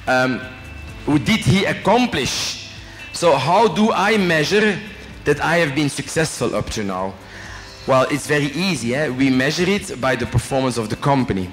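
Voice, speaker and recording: Man, middle-aged, from Belgium, French accent, chatty joking lecturer, handheld mic, reverberant hall. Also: fairly high pitch. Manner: chatty, joking, asks the audience questions, adds "eh?" tags. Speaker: company executive giving a guest lecture. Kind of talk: informal business talk to students. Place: large hotel function room with a student audience. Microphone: handheld microphone. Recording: long reverb in a big hall, faint music.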